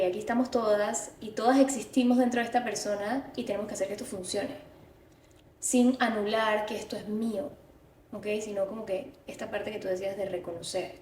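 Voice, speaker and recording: A woman speaks as a podcast co-host in a thoughtful, explanatory manner. Her intonation stays flat and even. The sound is roomy and a little far from the microphone. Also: mid-range voice. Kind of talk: reflective conversational discussion. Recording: roomy, distant mic, faint noise.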